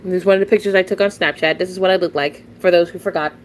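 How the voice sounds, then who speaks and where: A woman's voice: lowish voice, huge pitch swings, fast, a little hiss or hum. A nineteen-year-old woman streaming live, rambling casually, chatting with viewers and often giggling and restarting her sentences.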